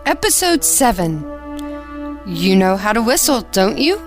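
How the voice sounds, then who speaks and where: A woman's voice: medium pitch, sing-song, measured pace, over music. A woman reading scripted title and cast announcements for an audio drama.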